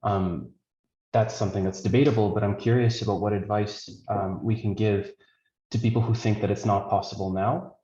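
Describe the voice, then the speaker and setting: A man's voice: on the low side, fairly even intonation, moderate pace, dark tone. A man asking a question in a webinar, polite and thoughtful with frequent ums, over a dull, echoey video call.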